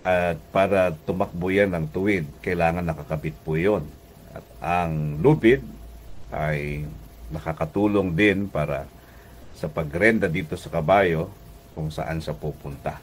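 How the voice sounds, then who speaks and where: A man's voice: low voice, measured pace, slight background noise. A Filipino man preaching as a pastor and radio host, speaking conversationally and earnestly, exhorting listeners with polite address.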